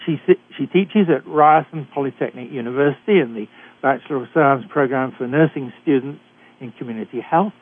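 A man's voice: mid-range voice, brisk. Older British man on a tinny line. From Britain. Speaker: radio show host. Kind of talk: talk radio introduction. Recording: dry, tinny phone-like line.

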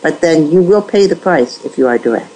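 A woman in a conversational interview, giving measured advice with frequent restarts. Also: sits low, expressive, warm tone, a little hiss or hum, low-quality recording. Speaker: guest.

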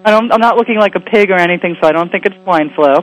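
A woman hosting a talk radio interview, her voice raspy and a little hoarse from a cold, chatting in a rambling way with quick asides. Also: mid-range voice, dull audio, background noise. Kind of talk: casual radio interview chat.

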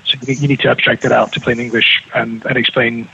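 A New Zealand man speaks quickly with a bright, slightly husky tone. His voice comes over a dull, lo-fi remote line. He restarts phrases often as he explains. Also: medium pitch, flat delivery. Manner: fast, with frequent restarts and fillers. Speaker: guest. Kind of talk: remote radio interview. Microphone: remote call with slight lag.

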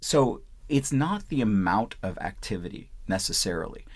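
A man's voice: lowish voice, very animated, moderate pace, breathy edge. A man from Punjab in India, a doctor answering interview questions in an explanatory way. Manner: explanatory, repeats "I want" phrases. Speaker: physician.

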